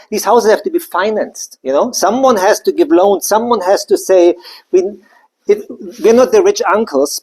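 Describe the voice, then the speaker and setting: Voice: medium pitch, darkish tone. Company presenter. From Germany. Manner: loose, conversational, with many side remarks. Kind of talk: live business presentation.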